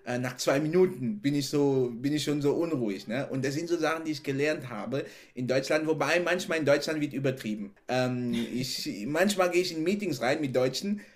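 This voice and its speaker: A man's voice: a little high, fairly quick, hardly any pauses. Man, laughing often. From Cameroon. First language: not German. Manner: animated, laughs often, repeats "genau" and "ne". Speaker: podcast guest. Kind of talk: casual interview chat.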